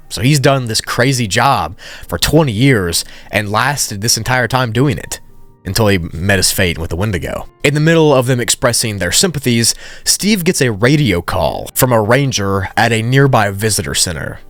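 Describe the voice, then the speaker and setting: A man's voice: on the low side, expressive, moderate pace, a bit bright, dry recording. A man narrating a story recap in a casual, conversational way with informal asides.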